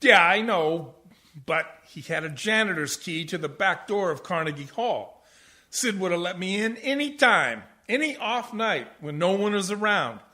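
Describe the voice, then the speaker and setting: A man's voice: high voice, big pitch swings, normal speed, pushed and bright, heavily acted. A man playing an aggrieved, washed-up older jazz musician in a comic radio play, grumbling theatrically and swelling into indignation. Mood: weary grumbling. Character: aggrieved, ranting, washed-up older jazzman, husband and father.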